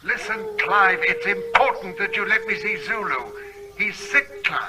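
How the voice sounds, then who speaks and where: A man's voice: sits high, lively intonation, unhurried. An actor playing an earnest, persuasive man, a concerned friend, in a naturalistic radio drama. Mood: urgent, pleading. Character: earnest, persistent male friend of a gunman, pleading calmly.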